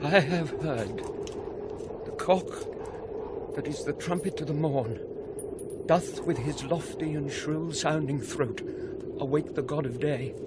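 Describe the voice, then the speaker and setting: A grave scholarly shakespeare gentleman, british, declaims slowly, noisy radio play sound. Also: a little high. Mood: solemn, thoughtful. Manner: declamatory, measured verse delivery. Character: grave scholarly gentleman confronting a ghost on night watch. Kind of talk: classical Shakespearean radio drama acting.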